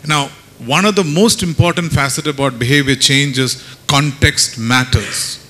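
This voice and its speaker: A middle-aged man explains his points as a panelist, starting haltingly, on a handheld microphone at a conference. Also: medium pitch, pushed and bright. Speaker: panelist, consultant. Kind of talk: panel discussion remarks. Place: conference stage indoors.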